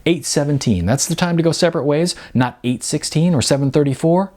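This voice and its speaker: A man's voice: fairly high pitch, fairly quick, darkish tone, pitched above the performer's own voice, close to an everyday voice. A man voicing a confused, hurt young woman in a novel, sarcastic and stammering as she repeats words back in disbelief. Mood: incredulous, upset. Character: confused, hurt young actress being dumped, incredulous and sarcastic.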